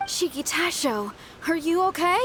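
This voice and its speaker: A loyal, spirited young rock musician in a video game. Her high, forceful voice leaps around with folksy punch. A little noise sits behind it. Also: expressive, unhurried, full character voice. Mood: alert. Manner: folksy, punchy, drops g's.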